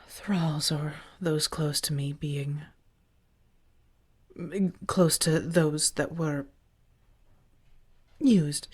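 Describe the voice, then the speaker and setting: A woman playing an affectionate, protective, elegant vampire roommate in a soft-spoken ASMR roleplay, tender and gently theatrical with breathy murmurs. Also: low voice, exaggerated voice. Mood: worried, tender.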